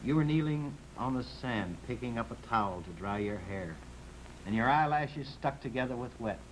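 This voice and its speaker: A man's voice: mid-range voice, animated, normal speed, lightly acted. A man playing a desperate, devoted, downtrodden husband in an old-time radio drama, speaking earnestly and pleadingly. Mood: tender, wistful. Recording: noisy vintage radio recording.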